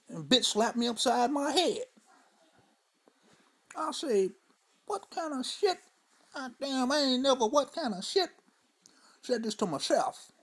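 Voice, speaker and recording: A man's voice: high-pitched, a bit bright, exaggerated voice. A man playing an older, crude storyteller who speaks in a loose, profane, rambling drawl and keeps asking "you know" and "see?". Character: crude old Southern man spinning tall tales. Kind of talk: stand-up character monologue.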